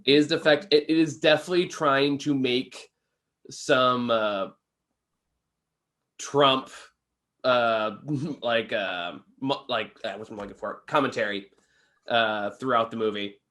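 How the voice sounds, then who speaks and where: A man's voice: mid-range voice, slow pace, fairly bright tone. A tired-sounding man giving a casual, unscripted film review in informal, sweary language. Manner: profane, informal.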